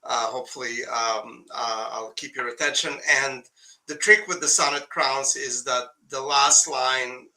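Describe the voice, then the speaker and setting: A man's voice: mid-range voice, steady tone, a little slow, sharp tone, low-quality recording. A man with a Russian accent, a poet guest, talking casually and hesitantly over a video call, with many fillers and restarts. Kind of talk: casual conversation.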